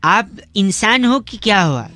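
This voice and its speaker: A man with a very high voice that swings up and down a great deal, working a comic radio segment and prank calls. He teases with mock innocence and keeps the jokes coming. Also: very animated, a little slow, bright, forceful tone, no echo, soft background music. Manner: playful, teasing, mock-innocent.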